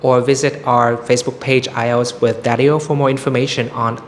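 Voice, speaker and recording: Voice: mid-range voice, flat delivery, quick pace. Upbeat show host, faint noise behind. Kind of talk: hosting an interview show.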